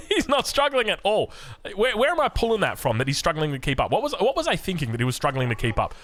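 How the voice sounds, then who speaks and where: A man's voice: high voice, wildly swooping pitch, quick pace, fairly bright tone, a little hiss or hum. An Australian man giving live strategy game commentary in a chatty, enthusiastic, self-correcting way. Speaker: esports caster of a strategy game.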